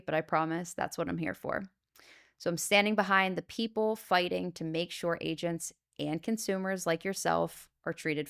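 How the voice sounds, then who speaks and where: A woman with a fairly low, smooth, resonant voice hosts her own podcast as an insurance agent. She talks straight to the listener, earnest and emphatic, and checks in with a steady stream of "okay?" and "you know". Her voice is loud and carries. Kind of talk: solo podcast talk to the viewer.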